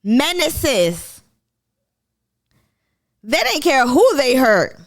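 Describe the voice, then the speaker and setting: A woman's voice: high voice, sing-song, extremely slow. A woman from Kenya with a Kenyan accent, chatting casually to viewers on a live stream about a TV show. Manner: chatty, addresses viewers as y'all.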